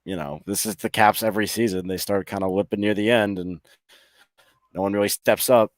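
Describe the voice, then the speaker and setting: A man's voice: on the low side, steady tone, brisk, no room sound, clean studio sound. A man co-hosting a sports podcast, chatting casually about hockey in a rambling, hesitant way, thinking aloud. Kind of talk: casual hockey fan chat.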